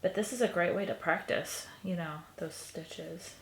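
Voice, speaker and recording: Soft-spoken woman, gravelly and sniffly. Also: fairly low pitch, unhurried. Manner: soft, musing, often trailing into a half-whisper. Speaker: crafter showing a stitching project. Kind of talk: casual crafting tutorial. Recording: echoey room recording.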